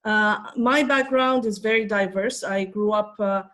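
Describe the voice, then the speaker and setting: A woman's voice: mid-range voice, unhurried, fairly bright tone. A woman in her fifties from Ethiopia, giving a conversational talk on a panel with frequent fillers. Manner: conversational, many fillers and restarts. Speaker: conference speaker.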